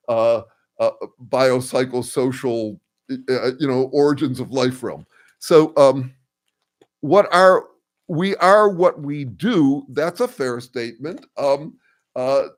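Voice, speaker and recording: An older man hosting a casual livestream, thinking aloud with many ums, uhs and restarts as he answers viewers, and describing his own voice as a bass. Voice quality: says he has a bass voice. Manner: thoughtful, halting, full of ums and restarts. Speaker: host answering viewer comments.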